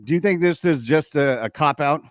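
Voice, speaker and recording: A man co-hosting a sports radio show, chatting casually and haltingly as he poses questions about the game. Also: fairly high pitch, clean tone, no echo, studio quality. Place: radio studio.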